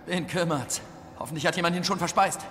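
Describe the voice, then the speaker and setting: Actor as a high-voiced cynical jailed informant in reverb. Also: male voice, fairly quick, slight background noise, lightly acted. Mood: sardonic. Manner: sardonic, coarse-mouthed. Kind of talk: audio drama acting. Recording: long hall reverb, loud music bed.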